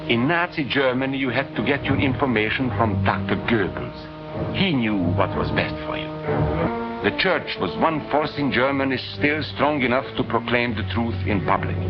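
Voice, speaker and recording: A man playing a grave professor, narrating with measured emphasis in a 1940s educational film. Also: medium pitch, close to an everyday voice. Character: grave male professor recounting the rise of Nazism. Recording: dull 1940s film soundtrack. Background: music.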